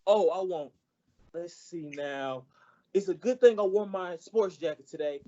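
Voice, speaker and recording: Man acting an eager, mellow-voiced naive schoolboy. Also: sits high, measured pace, dull audio. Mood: cheerful, earnest. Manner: earnest, folksy, polite. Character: eager, naive, good-natured teenage schoolboy. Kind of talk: old-time radio comedy acting.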